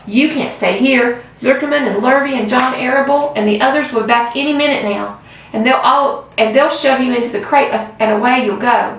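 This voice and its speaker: A woman voicing a calm, wise female spider who speaks softly and steadily, recorded in a very echoey room from a distance. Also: high voice, a little fast, voice pushed up. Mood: gentle.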